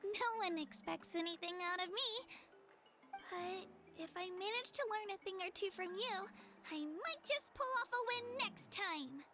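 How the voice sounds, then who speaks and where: A woman's voice: extremely high pitch, lively intonation, unhurried, music in the background, heavily acted. A woman voicing an eager, earnest young girl warrior in a game cutscene, sincere and self-effacing. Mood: self-doubting. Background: loud game soundtrack.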